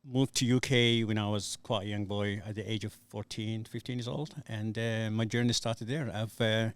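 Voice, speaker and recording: A man's voice: fairly low pitch, fairly even intonation, normal speed, smooth. A middle-aged man from Somalia, a podcast guest telling his life story in a hesitant, earnest way with many fillers. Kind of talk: casual podcast interview.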